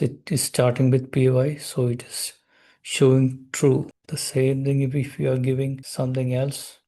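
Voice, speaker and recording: A young man, slowly explaining code step by step as he types in a tutorial. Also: mid-range voice, dark tone. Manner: slow, step-by-step explaining while typing code. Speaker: programming tutor. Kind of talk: screen-recorded coding tutorial.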